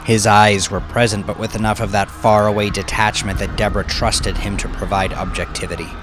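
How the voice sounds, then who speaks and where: A man giving grave, deliberate, suspenseful narration of a crime story, with music underneath. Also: fairly low pitch, level tone. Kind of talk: dramatic scripted narration of crime fiction.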